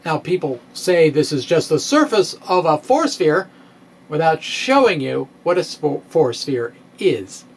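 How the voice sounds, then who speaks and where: A middle-aged man giving a dramatic reading of a science blog in a wry, theatrical manner with pointed pauses, close to a microphone in a small room. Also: on the high side, sing-song, gravelly, husky. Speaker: science blogger and presenter. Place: small indoor room with bookshelves. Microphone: microphone on a stand, close in front.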